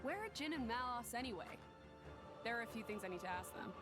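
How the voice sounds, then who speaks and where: Casting: assertive female fighter, played by a woman, fairly high, lively intonation, game drama. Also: fast, music in the background, lightly acted. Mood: challenging. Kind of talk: dubbed video game cutscene acting.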